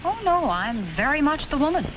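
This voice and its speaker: Young college woman, high animated voice, bossy and self-absorbed, on a noisy 1950s film soundtrack. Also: normal speed, lo-fi sound. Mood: impatient. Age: young adult. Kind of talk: 1950s educational film acting.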